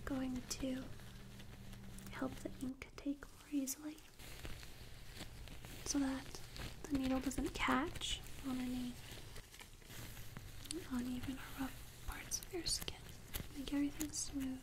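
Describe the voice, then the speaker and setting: A woman speaking softly and whispering in a breathy, slow, gentle way for an ASMR roleplay, playing a tattoo artist, close on a binaural microphone. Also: high voice, flat delivery. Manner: slow, gentle, unhurried instructions. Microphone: close binaural stereo microphone. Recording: close binaural recording with audible noise.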